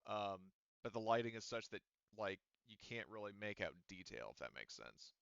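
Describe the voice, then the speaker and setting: A man with a low, smooth voice runs a tabletop roleplaying game, describing scenes and rules with frequent ums and restarts. The recording is dry and somewhat dull, from a home mic. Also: big pitch swings, moderate pace, fairly bright tone, no room sound. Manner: hesitant, filler-heavy explaining. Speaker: game master.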